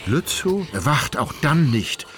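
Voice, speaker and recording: A man's voice: medium pitch, sing-song, slow pace. A man narrating a literary radio drama as scripted storytelling.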